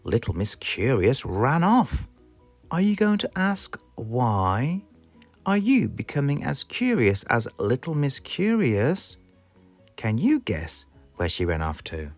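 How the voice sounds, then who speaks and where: British storyteller reading to kids, slow and super animated, music playing behind. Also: mid-range voice. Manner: expressive, asks the listener questions. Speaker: storybook narrator. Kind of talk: scripted children's story narration.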